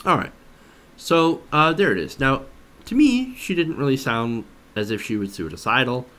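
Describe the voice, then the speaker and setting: Man, political commentary host, animated intonation, conversational, skeptical, emphatic, rhetorical questions. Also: medium pitch, normal speed, a little hiss or hum.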